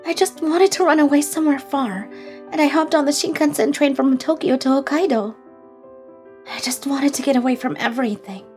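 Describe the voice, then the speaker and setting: A woman playing a gentle, troubled young woman in an English manga dub, speaking softly, politely and sincerely. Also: high-pitched, a little fast, faint music behind, natural voice.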